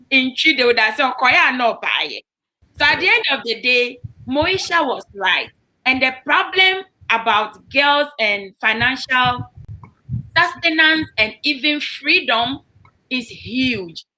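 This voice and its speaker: Bright, high-voiced impassioned woman. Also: rough recording. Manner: impassioned storytelling, quoting others. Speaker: founder of a girls' advocacy group. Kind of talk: studio interview. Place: studio.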